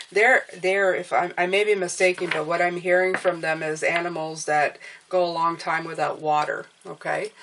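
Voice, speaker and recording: A woman's voice: fairly low pitch, slight background noise, poor audio. A woman giving a casual tarot reading who sounds stuffed up and nasal, speaking encouragingly and often checking in with "okay?". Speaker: tarot reader.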